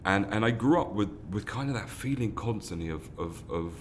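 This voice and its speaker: Deep-voiced earnest man. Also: expressive, a little fast, slight background noise. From London, England. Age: 30s. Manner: earnest, many restarts and repeated words. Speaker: foundation founder, guest. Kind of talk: earnest interview answers.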